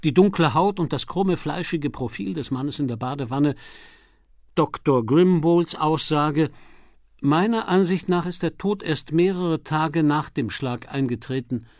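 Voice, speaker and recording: Creaky-voiced male crime audiobook narrator. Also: a little high, a little slow, no room sound, studio quality. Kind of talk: scripted literary narration of a crime novel.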